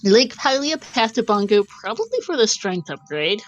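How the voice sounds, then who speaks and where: A woman's voice: fairly high pitch, very animated, moderate pace, lo-fi sound, faint music behind. Chatty woman commentating a game run. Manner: chatty, laughs often, reads out clues. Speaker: tournament commentator. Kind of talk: casual live game commentary.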